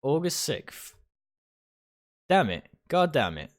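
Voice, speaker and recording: A man's voice: a little high, sing-song, slow pace. A man livestreaming a visual novel, reading its text aloud and stumbling over phrases now and then. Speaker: video game streamer.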